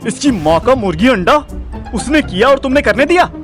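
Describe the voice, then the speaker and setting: A man's voice: extremely high pitch, sing-song, moderate pace. A man playing a hot-headed, jealous, teasing young boyfriend in an audio drama, with an Indian accent and exclamatory delivery that mixes in English. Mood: indignant, angry. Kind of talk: Hindi audio drama acting. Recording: music behind the voice.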